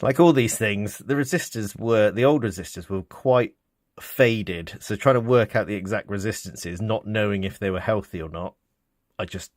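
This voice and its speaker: A man with a low-pitched British voice talks through a practical repair in an informal, unscripted way. He sounds like a hobbyist thinking aloud, with some hesitation. The sound is dry and close, with little room reflection. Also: no room sound. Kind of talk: casual unscripted repair demonstration. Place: indoors, small office.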